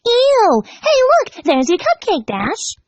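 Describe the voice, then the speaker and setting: A woman acts out a young female party pony from a dark storybook tale, bubbly and gleefully cruel. The voice is pitched well up and swoops in a perky singsong, joking even as it threatens. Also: slow, dry recording, exaggerated voice. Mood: excited. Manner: singsong, jokey, perky while menacing. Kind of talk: storybook fan fiction character voice.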